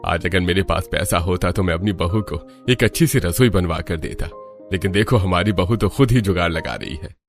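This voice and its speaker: Deep-voiced, fatherly older farmer played by a man. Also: normal speed, faint music behind. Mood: rueful. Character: caring, weary older village father-in-law farmer. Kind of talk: animated Hindi cartoon story acting.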